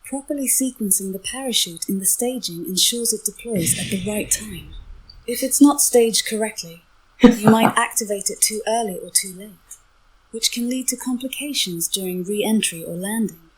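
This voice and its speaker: A synthetic woman's voice as an AI companion, giving formal, measured explanations with a slightly breathy tone. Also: mid-range voice, rough recording. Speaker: AI voice assistant companion. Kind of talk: clear explanatory briefing, scripted-sounding.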